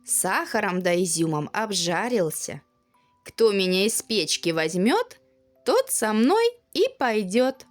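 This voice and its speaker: A woman's voice: medium pitch, sing-song, slow pace, faint music behind. A woman voicing a freshly baked talking pie, proud and inviting, in a storybook tale.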